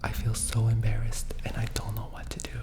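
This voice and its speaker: A young man in his twenties, whispering an ASMR-style vlog from the floor, dramatic and self-mocking. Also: fairly low pitch. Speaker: vlogger. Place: sitting on a floor indoors.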